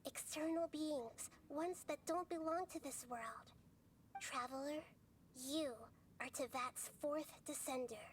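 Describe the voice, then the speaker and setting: A woman voicing a small, childlike female god for a video game, wise, composed and enigmatic, speaking in articulate, gently formal phrases. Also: high voice, slow pace, slight background noise. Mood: grave, deliberate. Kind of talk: video game voice acting, measured explanatory dialogue.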